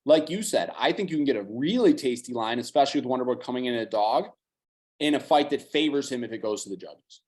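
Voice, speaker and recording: A slightly hoarse man co-hosting a podcast, running through picks in quick, analytical chat and dropping the odd curse. His voice sits at an ordinary middle pitch. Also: expressive, a little mellow. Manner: fast, analytical, casual swearing. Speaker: podcast co-host. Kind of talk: casual betting chat.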